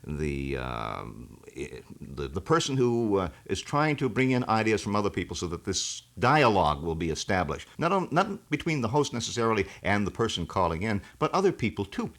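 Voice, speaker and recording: A middle-aged man with a low, deep voice talks casually in a radio studio. He is measured and reflective, often circling a phrase before he settles on it, like an experienced talk show host being interviewed. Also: very animated, fairly bright tone. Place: small radio station studio.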